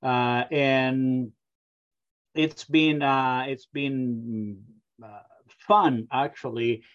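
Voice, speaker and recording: A middle-aged man from Argentina with an Argentine Spanish accent, a software company founder who talks in a hesitant way with many fillers in a remote interview. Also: medium pitch, steady tone, extremely slow, dull audio. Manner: hesitant, many ums and uhs.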